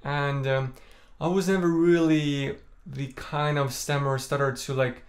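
A young man from Estonia, a native Estonian speaker, calmly telling a personal story to the camera in a small indoor room, full of "like" and "you know". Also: fairly high pitch, measured pace. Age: young adult. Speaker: vlogger. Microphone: camera in front at chest framing.

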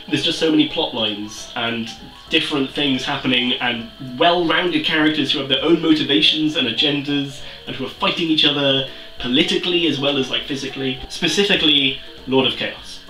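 Animated Welsh man in an echoey room. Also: mid-range voice, recorded from a distance. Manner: animated, chatty, self-mocking. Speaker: book vlogger. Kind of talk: casual book review chat. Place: small room with bookshelves, indoors. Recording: roomy echo, mic set back.

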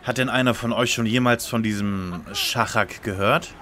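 A man's voice: mid-range voice, steady tone, moderate pace, bright, forceful tone, a little hiss or hum, lightly acted. A man playing an earnest, methodical young detective leader with formal, precise speech in a radio play parody. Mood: focused.

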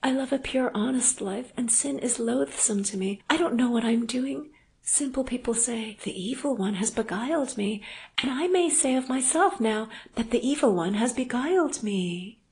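A woman's voice: a little high, expressive, normal speed, creaky, a little mellow, voice pushed up. A woman reading an audiobook, voicing a remorseful young married woman in an emotional, pleading way. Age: young adult. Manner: pleading, emotional, breathless urgency. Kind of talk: audiobook character voice.

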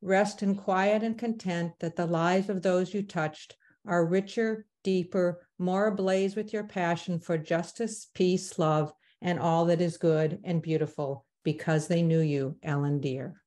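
Woman, nervous, emotional, poem reading, video call, somewhat low voice. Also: steady tone, unhurried, darkish tone. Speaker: guest speaker. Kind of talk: reading her own poem.

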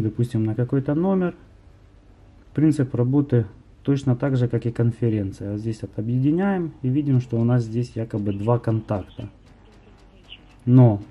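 A man's voice: mid-range voice, warm tone, muffled recording. A young man narrating a tutorial for viewers as a channel host, explaining things in an informal way. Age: young adult. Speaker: host of a phone tips channel.

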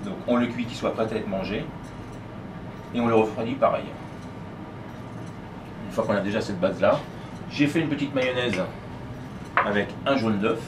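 A middle-aged man with a fairly low voice explains a recipe step by step. He talks informally, like a chef busy with his hands. The restaurant kitchen around him is loud, with clattering dishes and pans. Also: normal speed, pauses often, noisy background. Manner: informal, talking while working with his hands. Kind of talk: casual step-by-step cooking demonstration. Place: professional restaurant kitchen, tiled, indoors. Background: loud kitchen ambience, clattering pans and cutlery.

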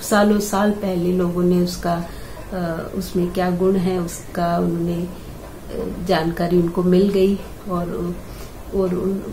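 An older woman with a hoarse, scratchy throat talks slowly and warmly, like a friendly home-remedy host. She hesitates often and clears her throat now and then. The voice sits in a roomy, echoey space with faint background hum. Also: lowish voice. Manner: unhurried, chatty, frequent hesitations and throat clearing. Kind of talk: casual home-remedy tutorial. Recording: echoey room with faint ambient noise.

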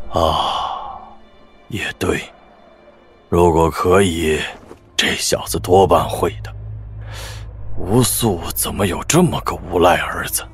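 An actor playing a laconic middle-aged master swordsman in an audio drama, musing and wry. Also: low voice. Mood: wry, thoughtful.

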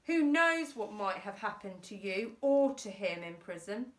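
A woman's voice: medium pitch, sing-song, a little slow. A woman voicing a menacing, sly male henchman in a children's storybook, taunting and mock-polite. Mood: sly, threatening. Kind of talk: children's storybook character reading. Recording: roomy, echoey indoor sound.

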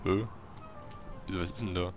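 A man giving casual gameplay commentary, talking to himself in short, halting remarks. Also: low voice, steady tone, over music. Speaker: let's player hosting a city-building game series.

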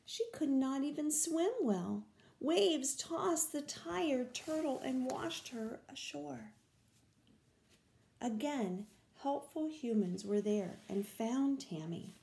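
Storyteller, older woman, slow expressive children's reading, slightly high mellow voice. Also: gravelly, echoey room. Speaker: read-aloud storyteller. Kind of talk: children's picture-book reading. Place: small room at home, indoors.

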